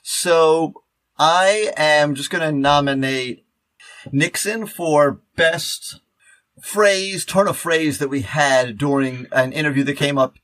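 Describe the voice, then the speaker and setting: A man's voice: fairly high pitch, fairly even intonation, takes it slowly, a bit bright, no room sound, low-quality recording. Casual man co-hosting a podcast. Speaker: podcast co-host; teacher.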